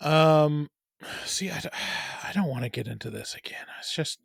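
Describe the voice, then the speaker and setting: A man's voice: on the high side, lively intonation, normal speed, vocal fry, husky, no echo, clean studio sound. A man co-hosting a podcast, talking casually and tentatively as he thinks aloud. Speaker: podcast co-host.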